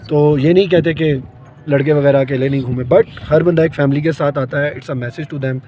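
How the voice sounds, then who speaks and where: A man's voice: a little high, steady tone, moderate pace, dark tone. A young man with a Pakistani accent chatting casually outdoors on a phone video, slipping into English phrases. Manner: slips between Urdu and English. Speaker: vlogger's companion. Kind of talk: casual vlog chat. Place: outdoors on a moving mountain chairlift. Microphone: handheld phone camera. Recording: outdoor phone recording, faint ambient noise.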